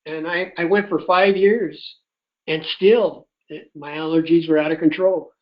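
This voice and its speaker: A man's voice: fairly high pitch, unhurried. A man, middle-aged or older, telling a personal story in a folksy, chatty way, acting out remembered dialogue on a conference call. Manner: animated retelling with quoted dialogue. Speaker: host telling his personal health testimony. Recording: dull, muffled conference-call audio.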